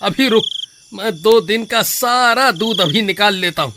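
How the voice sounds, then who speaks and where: A man's voice: high-pitched, unhurried, pushed and bright, a little hiss or hum, full character voice. A man voicing a greedy, cowardly village milkman in a melodramatic cartoon story, whiny and exclaiming. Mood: scolding.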